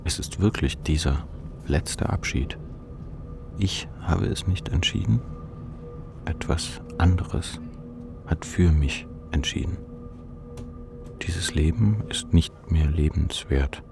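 A numb, ashamed young man in a literary radio play. His voice is very low and gravelly, and he speaks in a bleak, introspective and measured way, as if turned inward on his own despair. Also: over music, heavily acted. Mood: resigned despair. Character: despairing, ashamed, depressed man of twenty-four, numb narrator. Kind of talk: literary radio drama inner monologue.

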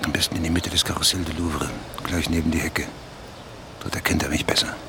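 An actor playing a sardonic, impatient journalist in a radio drama, grumbling to himself in a gruff manner. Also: male voice, extremely low pitch, flat delivery, brisk. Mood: impatient muttering. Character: sardonic, impatient middle-aged male investigative journalist. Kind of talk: radio drama acting, muttered inner monologue. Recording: clearly noisy street traffic behind. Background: cars and street ambience.

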